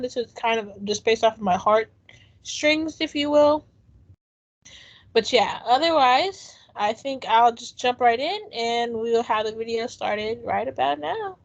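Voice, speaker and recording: Earnest, reflective female co-host with a slightly high voice, muffled lo-fi recording. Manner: earnest, reflective, many ums. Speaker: podcast co-host. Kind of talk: casual chat.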